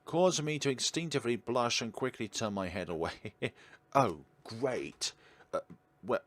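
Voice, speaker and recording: A man's voice: medium pitch, a little slow. A man hosting a let's play, reading visual novel text aloud and adding chatty asides. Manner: chatty asides between read-out narration. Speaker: gaming video host.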